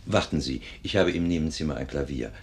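An actor playing a sober, measured doctor in a 1950s German radio drama. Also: male voice, a bass voice, creaky, a little mellow. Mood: grave. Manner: measured, clinical, deliberate. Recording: vintage 1950s radio recording.

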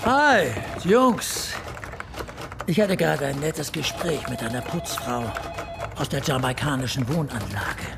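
An actress playing a breezy, teasing police detective in a radio drama. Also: female voice, low voice, animated, unhurried, gravelly, over loud music, heavily acted.

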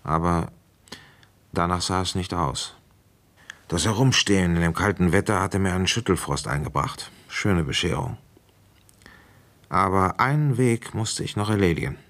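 A man playing a calm, probing village police commissioner in a German radio crime drama, speaking in a dry, matter-of-fact way. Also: low voice, moderate pace, pauses often. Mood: dry narration.

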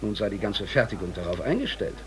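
An actor playing a male company employee telling a story with measured, dry irony in a radio drama monologue. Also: on the low side, very animated, poor audio. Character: dryly ironic male company employee recounting office absurdities.

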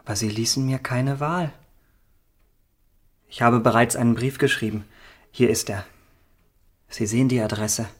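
A man acting a young gentleman of a radio drama, controlled and imperious. He speaks at a medium pitch and presses his point with cool, insistent authority. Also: steady tone, moderate pace, long pauses, natural voice. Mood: coolly threatening. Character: young gentleman, coolly commanding, blackmailing a friend. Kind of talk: German radio play acting.

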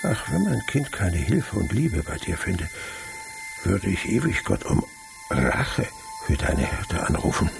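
A deep-voiced old winegrower in a radio drama, thick with gravel. He is miserly and sly, putting on humility. The track has clear background hum. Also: wildly swooping pitch, unhurried, exaggerated voice. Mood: grave, reading. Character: old miserly male winegrower, wily and falsely humble. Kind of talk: German radio drama acting.

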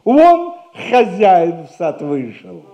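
A wily servant in a stage comedy. His high voice swings widely as he wheedles and pompously protests. The vintage recording is dull. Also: huge pitch swings, slow, mellow, exaggerated voice. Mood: aggrieved. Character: wily male household servant, self-important, aggrieved, wheedling. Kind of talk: theatrical audio play acting.

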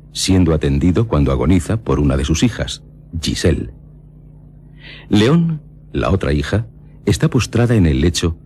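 A man reading scripted radio narration in a solemn, measured storytelling manner. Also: extremely low pitch, warm tone, a little hiss or hum. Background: music behind the voice.

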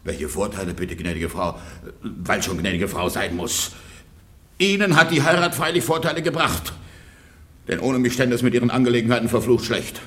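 An actor playing a rich, disgruntled peasant husband in a German radio play, blunt, grumbling and coarse-spoken. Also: male voice, medium pitch, very animated, moderate pace, a bit bright. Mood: exasperated.

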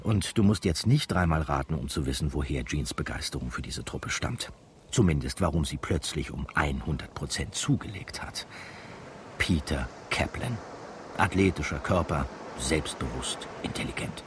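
A man's voice: extremely low pitch, expressive, moderate pace, a little hiss or hum. A man playing a wry, chatty narrator who addresses the listener in a radio drama. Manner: chatty, teasing.

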